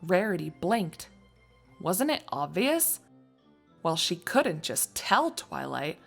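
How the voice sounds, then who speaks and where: A woman reading scripted story narration in an expressive, wry storytelling manner. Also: fairly low pitch, takes it slowly, vocal fry, soft background music. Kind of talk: scripted fan fiction narration.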